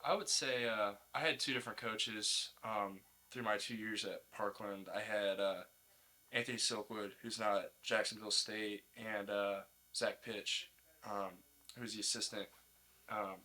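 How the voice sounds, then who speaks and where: A young man with a slightly low, clearly bright voice. He talks like a college athlete in a casual interview. His phrasing is informal and easygoing. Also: fairly even intonation, measured pace. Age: young adult. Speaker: college baseball player, podcast guest.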